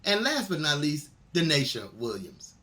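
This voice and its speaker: Man, animated intonation, higher-pitched voice, event host making introductions. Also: normal speed. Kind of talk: announcing readers at a showcase.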